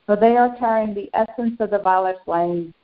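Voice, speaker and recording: A woman channeling a serene, benevolent spiritual master, guiding a meditation in slow, short phrases with long pauses. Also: medium pitch, natural voice. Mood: serene, reverent. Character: serene, benevolent ascended master St. Germain addressing followers. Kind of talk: guided meditation. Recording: dull lo-fi sound.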